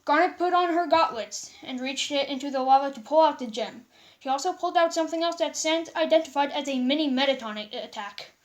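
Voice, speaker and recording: A high-voiced woman narrates a fan story as an amateur read-aloud. She reads with stumbles and repeated words. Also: fairly quick.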